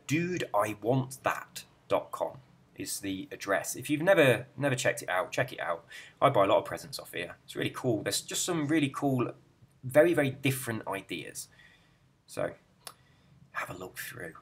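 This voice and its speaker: A middle-aged man chatting casually, rambling and easily sidetracked as he browses a website, with a soft, relaxed way of speaking. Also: lowish voice, moderate pace, slightly rough. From England. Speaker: YouTuber and hypnotist.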